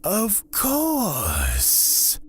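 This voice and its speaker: An actor playing a calm, menacing god of fear, speaking deliberately and formally in a husky, breathy voice in a fantasy audio drama. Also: male voice, high-pitched, a little hiss or hum, full character voice. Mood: calm, ominous. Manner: deliberate, formal, drawn-out phrasing.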